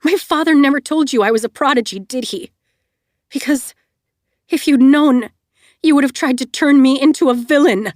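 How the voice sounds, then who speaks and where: A woman's voice: sits high, normal speed, a little mellow, dry recording, clean studio sound, voice pushed up, close to an everyday voice. A woman voicing an anguished young woman who shrieks at the man she blames, crying through her words. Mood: accusing.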